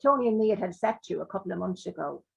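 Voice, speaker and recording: A woman reading aloud as a blunt, sweary working-class man who drives a forklift, in her own husky voice. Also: medium pitch, expressive, quick pace, exaggerated voice, rough recording. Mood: gruff, blunt. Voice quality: rough, sweary talk.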